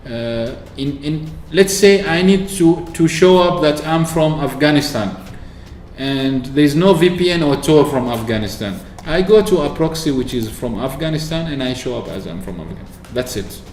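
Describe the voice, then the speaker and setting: A man from Oman chatting casually through a software walkthrough, explaining with fillers and restarts. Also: on the high side, animated, moderate pace, a little hiss or hum. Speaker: tech video host. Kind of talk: screen-recorded software walkthrough. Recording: echoey room with faint ambience.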